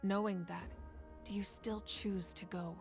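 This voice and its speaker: A woman playing a calm, commanding ruler of a fire nation who speaks in a composed, measured, earnest way. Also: mid-range voice, gravelly. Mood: steady. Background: game music.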